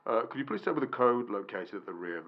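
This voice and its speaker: A man's voice: mid-range voice, very animated. A man playing a polite, formal call-line operator in an amateur radio play, with a dull lo-fi sound. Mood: polite, businesslike. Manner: brisk, formal. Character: polite male lottery phone-line operator.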